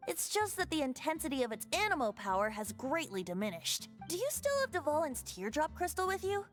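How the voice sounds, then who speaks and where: A woman's voice: sits high, sing-song, a little fast. A woman playing a playful, youthful male bard in an anime video game, with a light, lilting, theatrical manner. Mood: reassuring. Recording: game music behind the voice.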